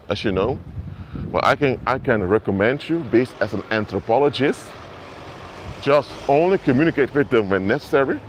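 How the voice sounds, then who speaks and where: Brief: male minister, Papiamento and Dutch native, earnest street live-stream preacher, mid pitch, faint traffic behind. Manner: earnest, repetitive, addresses viewers directly. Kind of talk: outdoor live-stream sermon. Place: outdoors in an urban area. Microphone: mobile phone.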